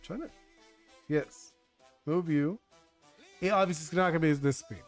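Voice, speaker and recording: A fairly high-voiced young man whose first language is Spanish chats loosely on a live stream, full of "like" and "uh". He rambles while he works, and faint music plays behind him. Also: moderate pace. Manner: rambling, filler-heavy, reads chat aloud. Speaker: streamer designing a card game. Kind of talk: casual live-stream chat.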